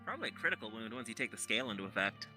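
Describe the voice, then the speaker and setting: A man's voice: lowish voice, big pitch swings, racing, over music. A man chatting casually during an online tabletop role-playing game.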